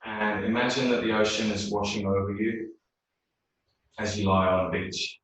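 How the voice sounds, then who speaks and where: A man leading a guided meditation in a yoga class, speaking slowly and soothingly. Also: fairly low pitch, level tone, fairly bright tone. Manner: slow, soothing, unhurried. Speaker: yoga teacher. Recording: very echoey room, mic far off.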